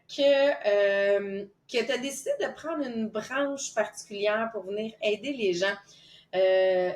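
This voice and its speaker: A woman with a Québécois accent hosting a casual podcast, chatty and enthusiastic, with many fillers and restarts. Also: a little high. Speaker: podcast host. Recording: roomy echo.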